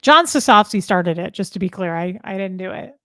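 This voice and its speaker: A woman's voice: medium pitch, lively intonation, a little fast, sharp tone, no room sound, clean studio sound. A woman guest on a live stream, answering questions in an agreeable, informal, chatty way. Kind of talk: casual chat, answering viewer questions.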